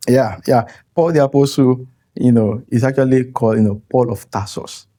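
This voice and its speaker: A Nigerian man whose first language is Yoruba, speaking as an interview guest in a thoughtful, halting way with frequent soft laughs. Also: medium pitch. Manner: thoughtful, halting, chuckles often. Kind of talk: interview conversation.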